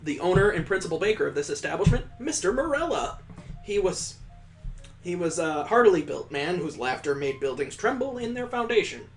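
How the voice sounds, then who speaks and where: Male game streamer, fairly high voice, expressive story reading with wry asides. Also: fairly quick, faint music behind. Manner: theatrical, breaks off for wry asides. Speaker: video game let's play host. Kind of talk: expressive read-aloud of a visual novel with asides.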